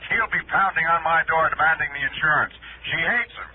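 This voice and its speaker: A man's voice: fairly high pitch, fairly quick. Chatty insurance man, tinny phone line. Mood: chatty, informative. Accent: American. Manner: hesitant, colloquial. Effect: telephone filter. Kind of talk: 1960s American radio drama acting. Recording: tinny phone effect on faintly noisy old recording.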